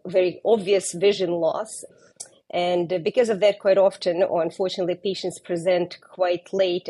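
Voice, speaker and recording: A middle-aged woman with a Ukrainian accent speaks as an ophthalmologist being interviewed. Her voice sits a little low and has a husky edge. She explains carefully and stops often with small hesitations. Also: warm tone. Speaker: ophthalmologist guest.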